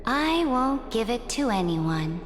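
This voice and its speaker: A proud, calculating empress from a video game. She speaks very slowly and regally in a fairly high voice, with long hall reverb and music underneath. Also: very animated. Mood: proud, resolute. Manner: regal, deliberate, commanding. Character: imperious, ambitious female emperor, proud and calculating. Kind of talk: scripted video game voice acting.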